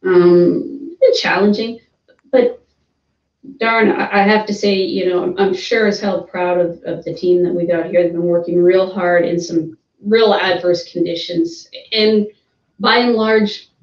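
A woman's voice: lowish voice, fairly even intonation, measured pace, darkish tone. A woman speaking for a sawmill company on a video call, upbeat and hesitant with many fillers. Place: office room, seated with a colleague. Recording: roomy, distant, dull video call audio.

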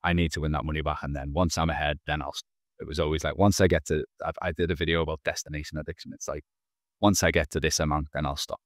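Very deep, flat-voiced man. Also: fast, dry recording, clean studio sound. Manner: earnest, frequent restarts and repeated words. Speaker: podcast guest. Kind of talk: candid personal storytelling. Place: small indoor room. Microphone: video call, wearing headphones.

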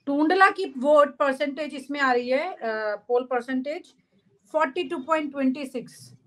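Young female news anchor on a webcam call, high and bright, brisk delivery. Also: low-quality recording. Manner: brisk, reading out figures. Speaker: news show host. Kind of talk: news discussion on a video call. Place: office room, indoors. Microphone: video call webcam.

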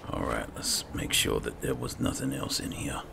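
A man's voice: a bass voice, huge pitch swings, normal speed, creaky, noisy background. A man casually commentating on a video game, roleplaying and musing aloud to himself. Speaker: gaming host doing roleplay playthrough.

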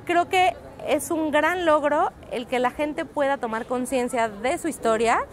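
A woman's voice: sits high, unhurried, a little hiss or hum. A woman in her thirties with a Mexican accent, a reporter explaining at length in a street interview, fluent but with frequent "eh" fillers. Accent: Mexican Spanish. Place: outdoors on a busy city street. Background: faint street ambience.

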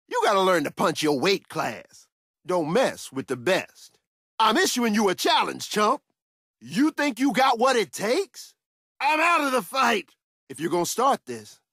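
An actor playing a tough, confident soldier in a video game, delivering punchy, swaggering combat lines. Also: male voice, sits high, a bit bright, husky, dry recording, studio quality, exaggerated voice. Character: tough, confident male soldier, upbeat battlefield fighter.

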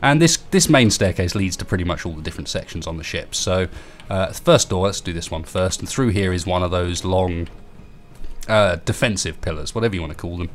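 Young man, very low voice, stuffy nose, relaxed rambling game tour, desktop mic voice-over. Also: fairly quick. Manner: relaxed, rambling, self-deprecating. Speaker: gaming YouTuber. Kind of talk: casual game build tour.

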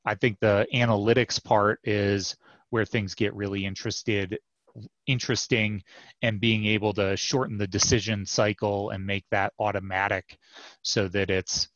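Low-voiced man on a headset call. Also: little pitch movement, normal speed, fairly bright tone, no room sound. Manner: thoughtful, many fillers and restarts. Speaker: software product manager, guest. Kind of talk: casual interview answers. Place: home office with bookshelves. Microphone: headset mic on video call. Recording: dull dry headset audio.

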